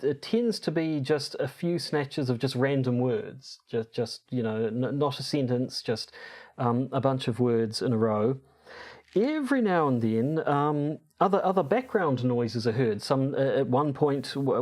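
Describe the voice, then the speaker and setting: A man with a mid-range voice talks as a podcast co-host. He explains material from his notes casually and hesitantly, often halting to hunt for the right word. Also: medium pitch, a little mellow. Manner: hesitant, halting, searching for words.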